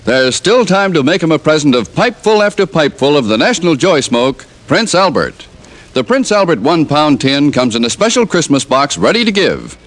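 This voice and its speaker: A man's voice: fairly high pitch, big pitch swings, moderate pace. A man reading a radio ad in an upbeat, persuasive way. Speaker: announcer. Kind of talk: radio ad read.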